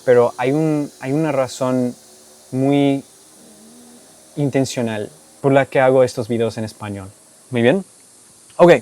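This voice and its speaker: A young man from Texas speaks Spanish with a noticeable American accent, his first language being English. His voice is mellow and dark at a mid pitch, recorded dry and close. He tells stories in an easygoing way, restarting phrases and laughing softly. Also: no room sound. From United States (Texas). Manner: relaxed storytelling with frequent restarts and soft laughs. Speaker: language-learning YouTuber. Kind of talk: casual Q&A monologue to camera.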